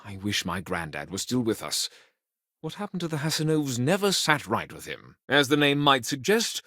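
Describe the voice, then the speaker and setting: A polite, talkative man who serves as an official in a frontier space colony, made for a video game. His speech is folksy and earnest, with lively swings in pitch. The recording is dry and close, with no room sound. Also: normal speed, no echo. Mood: somber. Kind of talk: video game character dialogue.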